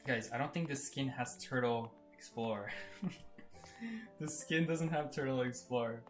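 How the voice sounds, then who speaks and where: A young man commentating his own gameplay live, excitable and laughing often, going from loud disbelief to low mutters. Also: unhurried, soft background music. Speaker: game streamer.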